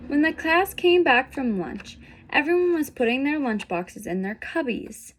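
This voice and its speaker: A woman plays a young boy zebra from a children's storybook, sensitive and misunderstood. The voice is fairly high, with a plaintive, earnest way of telling troubles. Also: expressive, a little slow, slight background noise. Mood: earnest, troubled.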